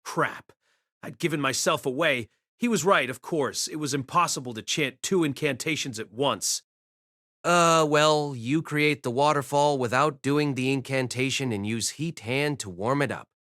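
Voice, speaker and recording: A man's voice: mid-range voice, moderate pace, fairly bright tone, no room sound, studio quality, close to an everyday voice. A man playing a wry, self-deprecating reincarnated boy mage, narrating his own life in a conversational audiobook style. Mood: patient explaining. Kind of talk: first-person audiobook narration with acted dialogue.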